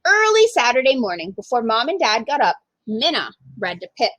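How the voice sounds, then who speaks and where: Animated, high-voiced woman storyteller. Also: moderate pace, no echo. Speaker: storytime reader. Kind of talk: expressive children's story narration.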